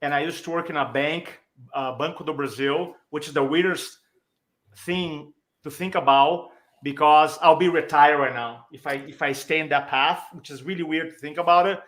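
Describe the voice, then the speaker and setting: A man with a Brazilian accent and a fairly high-pitched voice tells personal stories in a conversational way. He is a native Portuguese speaker talking as a guest speaker and coach, moving along in short phrases and acting out bits of dialogue. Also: moderate pace, fairly bright tone.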